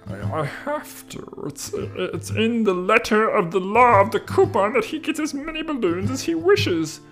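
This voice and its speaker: A man voicing a nervous middle-aged balloon shop man who stammers and pleads, over soft guitar music. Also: high voice, very animated, a little slow, pitched above the performer's own voice, heavily acted. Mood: nervous pleading.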